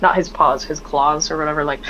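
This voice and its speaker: A hoarse woman with a lowish voice chats casually as a player in a tabletop game, explaining things in a rambling way full of fillers. Her voice comes through a dull, lo-fi microphone with faint hiss. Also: steady tone, a little fast, a bit bright. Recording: dull lo-fi mic.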